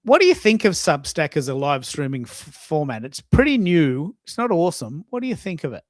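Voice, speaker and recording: A man's voice: fairly high pitch, sing-song, brisk, no echo, studio quality. A man hosting a casual live audio chat, opinionated and repeating himself for emphasis. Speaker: live show host.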